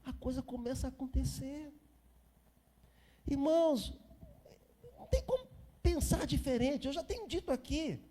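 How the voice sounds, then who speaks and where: A man's voice: very high-pitched, animated, moderate pace, long pauses, dark tone. A Brazilian man in his fifties preaching an informal sermon as a pastor, repeating phrases for emphasis and chatting to the congregation. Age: 50s.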